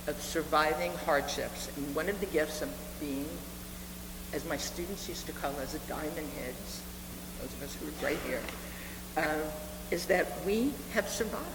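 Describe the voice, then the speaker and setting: A woman in her seventies delivering a sermon as a reverend, speaking earnestly and deliberately with repeated phrases for emphasis. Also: low voice, expressive. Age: 70s. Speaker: reverend preaching to a congregation. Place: reverberant meeting hall with a congregation. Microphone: live speaking microphone. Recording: echoey hall, noisy room with hum.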